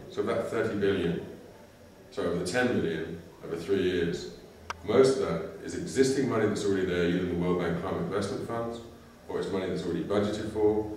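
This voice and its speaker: A man's voice: on the low side, darkish tone, slight background noise. A blunt, exhausted man speaking as a climate adaptation official, swearing freely in an interview. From United Kingdom. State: exhausted from lack of sleep. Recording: echoey room, voice from far off.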